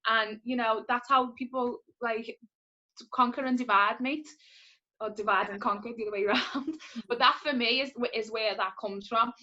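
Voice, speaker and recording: A bright, forceful woman's voice, fairly high, talking with passion and emphasis in a casual group chat. The audio is dull and lo-fi. She speeds up in quick bursts when she makes a point. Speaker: podcast co-host.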